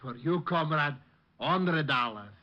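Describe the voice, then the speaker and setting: An actor playing a temperamental, money-grubbing Russian émigré artist in broken English, in old radio comedy style. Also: male voice, fairly high pitch, slow pace. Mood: haggling, wounded. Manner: theatrical, excitable, broken English. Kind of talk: 1950s radio comedy-detective acting. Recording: dull vintage radio recording.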